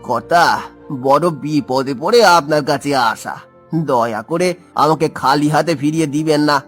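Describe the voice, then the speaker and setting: A man voicing a poor village farmer and father in a folk-tale cartoon, gentle and humble. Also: on the high side, normal speed, faint music behind. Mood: humble, pleading. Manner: affectionate, humble.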